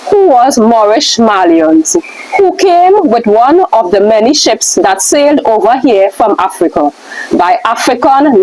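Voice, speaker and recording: A woman reading aloud from a book as one of the readers, with a somewhat halting delivery. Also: a little high, a little mellow, slight background noise, low-quality recording. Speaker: reader in a question-and-answer scroll reading.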